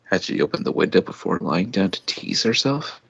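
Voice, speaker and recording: A man reading fan fiction as an amateur, with a husky, often breathy voice and a hammy, halting delivery. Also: sits low, animated, fairly bright tone, dull audio, slight background noise. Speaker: story reader.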